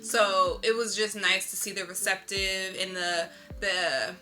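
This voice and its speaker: Casting: American woman, bright forceful voice, expressive informal interview guest, music underneath. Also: mid-range voice, measured pace. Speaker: interview guest, visual artist. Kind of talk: casual interview chat.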